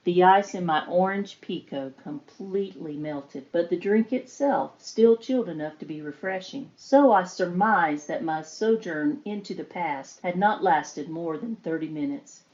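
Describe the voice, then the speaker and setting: A woman's voice: on the low side, a little slow, few pauses, darkish tone, muffled recording, close to an everyday voice. A woman playing an earnest, determined young niece reading out her letter in a dramatic, pleading way. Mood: wondering. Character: earnest, determined young niece recounting strange time travel. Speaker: voice actor in a fiction podcast.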